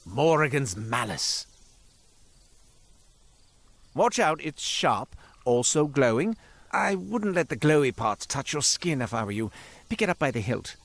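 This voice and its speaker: A self-important shopkeeper from a fantasy game, with a mid-pitched, very animated voice. He narrates like a stage showman, wry and flamboyant, forever wandering into asides. There is a cowardly slyness under the grand manner. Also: measured pace, pauses often, full character voice. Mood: distracted, helpful. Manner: theatrical, flamboyant, wry and digressive. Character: chatty, self-important male curio merchant, wry and cowardly. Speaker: video game character voice actor. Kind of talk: theatrical character monologue, storytelling.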